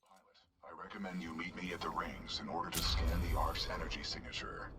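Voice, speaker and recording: An actor voicing a calm, loyal giant military robot in a video game, formal and matter-of-fact in its tactical reports. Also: male voice, deep voice, fast, natural voice. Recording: distant voice under loud game music.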